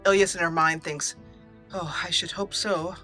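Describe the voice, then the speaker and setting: A woman hosting a let's play, telling her character's story over gameplay with drawn-out emphasis. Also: fairly low pitch, fairly even intonation, bright, forceful tone. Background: faint game music.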